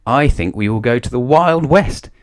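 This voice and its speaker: A man's voice: mid-range voice, lively intonation, normal speed, voice pushed up, lightly acted. A man voicing a cheerful, adventurous male cloud creature in a children's storybook, jaunty and inviting.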